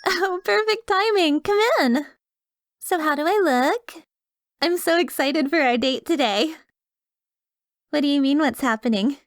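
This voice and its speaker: A woman playing a doting, possessive therapist in a soft-spoken, breathy, whispery ASMR roleplay, coaxing and soothing. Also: high voice, a little slow, pauses often, dry recording, studio quality. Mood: excited. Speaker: audio roleplay performer. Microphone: close microphone, dry.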